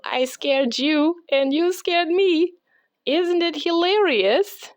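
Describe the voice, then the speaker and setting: A woman voicing an excitable, playful young girl in a children's storybook, exclaiming and coaxing as if talking to her pet. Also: very high voice, slow, no room sound, studio quality, pitched above the performer's own voice. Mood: cheerful, coaxing. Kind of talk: children's storybook read-aloud character voice.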